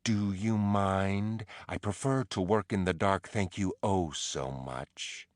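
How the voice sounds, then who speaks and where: A man voicing a battered, bitter male prisoner who accuses slowly and breaks into anguished outbursts, for an audiobook. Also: deep voice, no room sound, clean studio sound. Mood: bitter, reproachful. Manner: slow, drawn-out accusations rising to anguished outbursts.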